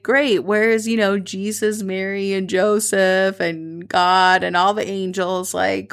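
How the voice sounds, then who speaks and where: A woman's voice: mid-range voice, vocal fry. A woman in her thirties, born in Colombia, hosting a podcast and telling personal stories in a reflective, chatty way. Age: 30s. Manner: warm, reflective, chatty with fillers. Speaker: podcast host. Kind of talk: personal storytelling monologue.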